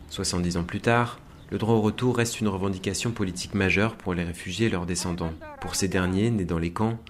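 A man's voice: deep voice, slight background noise. A man reading scripted news narration for a radio report. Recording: crowd sound behind the voice.

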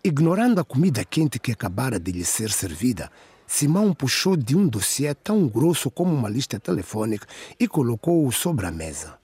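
A man's voice: medium pitch, sing-song, normal speed, a little mellow, husky, no room sound. A man narrating a scripted radio drama in a storytelling style.

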